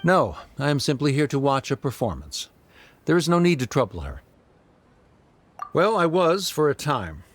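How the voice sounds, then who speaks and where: A man's voice: fairly high pitch, very animated, normal speed, long pauses. A man playing a stern, middle-aged scholar father in a game, speaking formally and with restraint. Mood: reserved.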